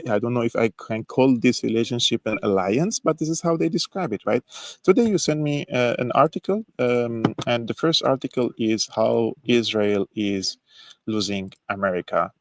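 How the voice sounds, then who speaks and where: A man's voice: mid-range voice, moderate pace, dry recording. A man hosting a political talk show, earnest and a bit hesitant, with frequent filler sounds. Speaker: podcast host.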